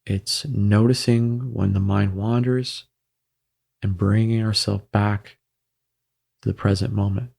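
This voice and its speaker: A man guiding a meditation in a gentle, measured way, with frequent pauses between phrases. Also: lowish voice, steady tone, vocal fry, breathy edge. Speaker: meditation guide. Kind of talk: guided meditation narration.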